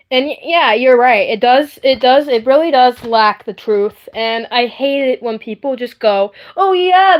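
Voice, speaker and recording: Higher-pitched woman chatting on a livestream. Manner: rambling, earnest, emphatic, often repeating herself. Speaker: livestream host. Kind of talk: casual livestream chat.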